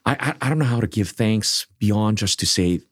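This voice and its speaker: A middle-aged man born in South Korea, leading a seminary, speaking in an earnest, measured way with frequent restarts. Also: fairly low pitch, dry recording, studio quality. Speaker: seminary leader. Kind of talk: conversational interview.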